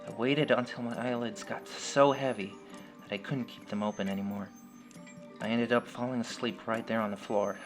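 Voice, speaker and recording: A man's voice: lowish voice, steady tone, normal speed. A man reading a scripted first-person horror story as its storyteller. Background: music.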